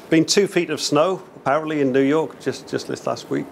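A man's voice: fairly high pitch, moderate pace, vocal fry, a little hiss or hum. Chatty man giving a meetup talk. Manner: chatty, upbeat, many fillers and restarts. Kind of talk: casual conference talk. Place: small event venue indoors, in front of an audience.

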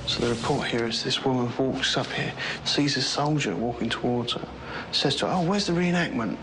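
A man's voice: mid-range voice, brisk. A man explaining things on location as a paranormal investigator inside tunnels. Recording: noisy tunnel location sound.

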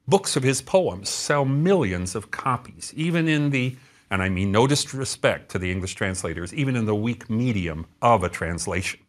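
Middle-aged male lecturer, lively melodic delivery, close lapel sound from a studio set. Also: mid-range voice. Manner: expressive, gesturing, storytelling with dramatic pauses. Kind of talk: scripted travel lecture to camera.

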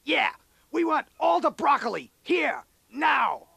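A man voicing a dim, pushy, hungry rhinoceros trader with gravelly, blunt slangy talk in a radio comedy. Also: extremely high pitch, big pitch swings, slow, bright, forceful tone, no room sound, full character voice. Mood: hungry, demanding. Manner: blunt, cocky, slangy street-tough talk. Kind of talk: 1980s satirical radio comedy acting.

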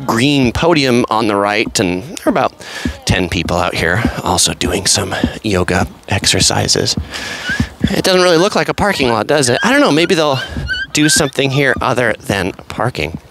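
A man's voice: mid-range voice, very animated, normal speed, a bit bright, a little hiss or hum, low-quality recording. A man casually narrating an outdoor walking tour on a pier, chatty and rambling, full of fillers and asides. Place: outdoors on an empty seaside pier.

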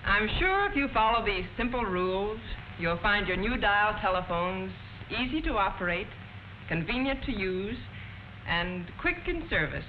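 A woman's voice: mid-range voice, animated, moderate pace, lightly acted. Muffled old 40s film lady woman acting as a prim patient phone instructor, formal and didactic, bit of hiss. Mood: patient, instructive. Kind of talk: 1940s educational film narration. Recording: muffled, noisy 1940s film soundtrack.

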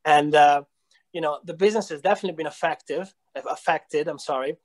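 A man's voice: fairly high pitch, steady tone, a little fast, a little mellow. A man in his thirties with an Italian accent, talking hesitantly with many fillers in a video call interview. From Italy. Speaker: real estate company founder. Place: small room indoors.

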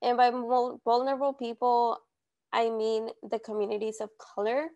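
A woman organizer speaking in a measured, serious way over a video call from home. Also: a little high, flat delivery, warm tone, highs cut off. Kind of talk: documentary interview.

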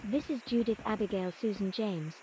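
A woman playing an earnest, composed archaeologist recording measured, articulate expedition audio logs for a game. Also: medium pitch, background noise, close to an everyday voice. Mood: intrigued, businesslike. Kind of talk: scripted audio-log narration, game voice acting.

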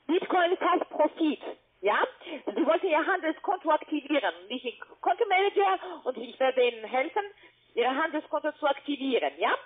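A woman in giving a telephone sales pitch, often tagging her statements with little questions. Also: expressive, normal speed. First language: not German. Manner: ends statements with questioning tags. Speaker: telemarketing caller. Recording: muffled narrow phone line.

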